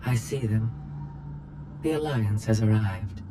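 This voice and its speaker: An actor playing a vengeful droid mastermind in a video game, speaking in a cold, measured, imperious way. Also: male voice, fairly low pitch, music in the background. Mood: cold warning. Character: vengeful, disdainful male droid mastermind scorning organics.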